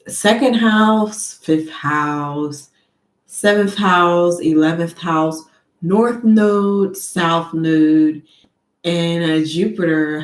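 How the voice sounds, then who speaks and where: A woman giving a tarot reading who talks slowly and deliberately, sniffling from allergies, and calls on spirits in a measured, invocation-like way. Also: lowish voice, roomy sound. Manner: slow, soft and deliberate, with an invocation-like cadence. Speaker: tarot card reader.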